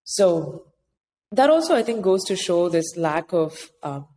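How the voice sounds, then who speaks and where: A woman's voice: on the low side, big pitch swings, unhurried, dark tone. A woman speaking as an interview guest, giving practical, explanatory advice in a conversational style. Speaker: interview guest, author advising immigrant founders. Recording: echoey hall-like reverb, clear background noise.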